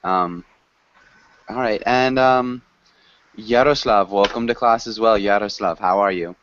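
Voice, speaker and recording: A man teaching a conversational online group class, encouraging and gently correcting learners. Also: lowish voice, measured pace, slight background noise. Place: group video call. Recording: muffled, lo-fi online call audio.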